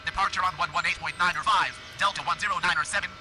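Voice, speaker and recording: A man's voice: high-pitched, steady tone, very fast, music in the background, lightly acted. A man voicing an airline pilot in a game, giving clipped, routine radio calls over background noise. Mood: routine, businesslike. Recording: noisy game radio audio.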